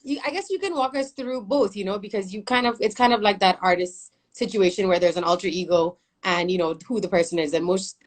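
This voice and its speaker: A woman with a lowish voice hosts a casual interview. She is chatty and animated, laughs easily, and keeps the conversation moving with follow-up questions. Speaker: interview host.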